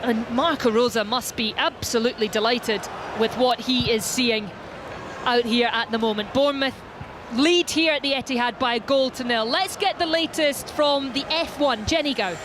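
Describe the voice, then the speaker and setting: A woman giving brisk live play-by-play commentary on a football match from a stadium. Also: a little high. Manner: brisk, descriptive play-by-play calling. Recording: stadium crowd and loud background noise.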